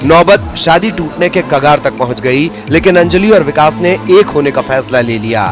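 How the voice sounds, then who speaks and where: A man's voice: on the high side, expressive, moderate pace. A man reading scripted news reports as a broadcast voice-over. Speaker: news narrator. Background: background music.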